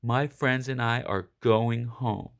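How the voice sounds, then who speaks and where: Man putting on a big gruff growly tough dog. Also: medium pitch, steady tone, slow pace. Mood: defiant. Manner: growly, blustering. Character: big gruff tough male dog, secretly soft-hearted.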